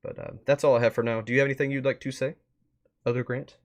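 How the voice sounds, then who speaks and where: A man hosting a livestream in a casual chatty style, rambling a bit as he thinks out loud. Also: mid-range voice, flat delivery, quick pace. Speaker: streamer and host. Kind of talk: casual livestream wrap-up chat.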